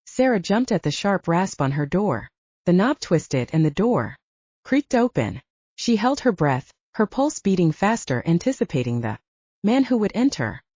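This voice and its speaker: Expressive woman narrating fiction, mellow voice. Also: on the low side, moderate pace, breathy edge, dry recording, highs cut off. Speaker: audiobook narrator.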